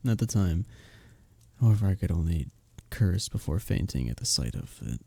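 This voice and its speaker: Man acting a husky, lively medieval innkeeper. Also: low-pitched, moderate pace, no echo, heavily acted. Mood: wry storytelling. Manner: old-fashioned formal wording, teasing storyteller. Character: flirty, good-humoured male innkeeper of a medieval fantasy village. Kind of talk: scripted roleplay audio acting, intimate ASMR.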